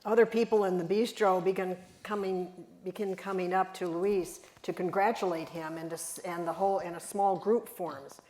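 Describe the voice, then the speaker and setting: A woman's voice: lowish voice, steady tone, normal speed, hardly any pauses, a little mellow. An older woman reading scripted stage directions as the narrator of a play.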